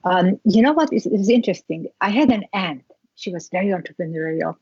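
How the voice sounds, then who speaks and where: A middle-aged woman with a Hungarian accent, a guest recounting her past in an interview, reflective and halting with many restarts. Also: medium pitch, expressive, darkish tone, slightly rough, no room sound, highs cut off. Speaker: guest, skincare founder. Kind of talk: interview storytelling.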